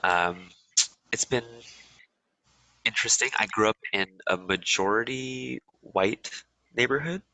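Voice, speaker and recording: Casting: young Californian man, deep but bright tone, rambling guest talk, dry close sound. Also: measured pace, long pauses, no room sound, poor audio. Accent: Californian American. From USA. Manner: relaxed, rambling, many ums and restarts. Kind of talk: casual interview chat.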